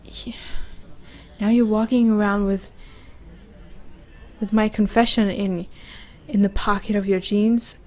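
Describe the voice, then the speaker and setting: A woman playing a vulnerable young woman confessing love in an intimate ASMR roleplay, halting and hesitant, often repeating her words. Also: medium pitch, steady tone, dark tone, lightly acted. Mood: wistful, confused.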